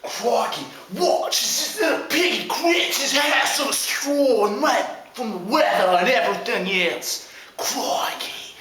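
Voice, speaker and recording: A man voicing a little pig as an excitable wildlife presenter with a mock Australian accent and drawn-out exclamations. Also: very high-pitched, a crowd in the background. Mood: amazed, mocking. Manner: breathless nature-show commentary, drawn-out exclamations. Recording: echoey room.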